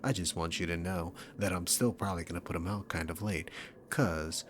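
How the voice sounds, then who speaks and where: A man's voice: deep voice, fairly even intonation, a little fast, slight background noise. A man, an audio creator, speaking informally and apologetically in a casual address to his listeners. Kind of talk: casual thanks to subscribers.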